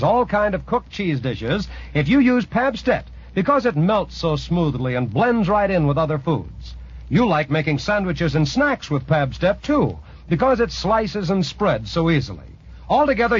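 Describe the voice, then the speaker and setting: A man reading a scripted 1940s radio commercial as a polished announcer's sales pitch. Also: husky. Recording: muffled 1940s radio broadcast.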